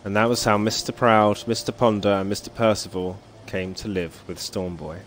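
Male streamer, lower voice, laid-back musing commentary with light chuckles, faint game music underneath. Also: moderate pace. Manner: relaxed, musing aloud, chuckles often. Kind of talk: casual gameplay commentary, reading game text aloud. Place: home, at the computer.

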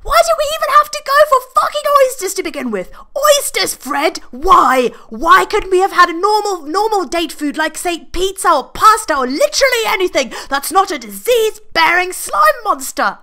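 A woman voicing a furious, sick young man who rants and swears at a shout. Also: very high-pitched, very animated, normal speed, hardly any pauses, voice pushed up, exaggerated voice. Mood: ranting.

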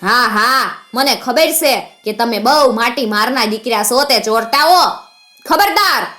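Fierce, fearless village widow, played by a female storyteller: Kathiawadi accent, high-flung scolding and taunts, folk-tale drama. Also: moderate pace, a bit bright, soft background music. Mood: defiant taunting. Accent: Kathiawadi Gujarati. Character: fearless, furious village widow defying an outlaw.

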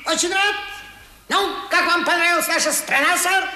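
An actor playing a cocky, bombastic young male war correspondent in a radio drama, self-important and shrill. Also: moderate pace, pushed and bright, heavily acted. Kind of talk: Soviet 1970s radio drama acting. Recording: roomy 1970s radio play recording.

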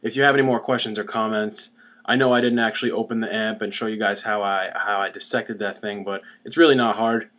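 A man's voice: on the low side, fairly even intonation, fairly quick, fairly bright tone. A young adult man giving a casual how-to explanation, speaking into a webcam at home. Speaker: DIY music gear demonstrator. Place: small cluttered room at home. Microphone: webcam about arm's length away.

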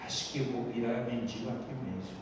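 Middle-aged man, pastor, earnest halting testimony, far-off mic, noisy background. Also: mid-range voice. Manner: earnest, halting phrasing with frequent restarts. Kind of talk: sermon testimony. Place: church stage with large hall. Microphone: handheld mic. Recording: echoey hall from far off with background noise.

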